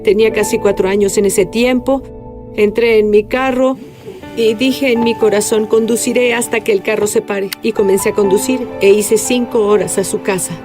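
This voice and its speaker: A woman guest tells her life story earnestly in an interview, with halting restarts. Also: medium pitch, fairly even intonation, music in the background. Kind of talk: dubbed interview testimony.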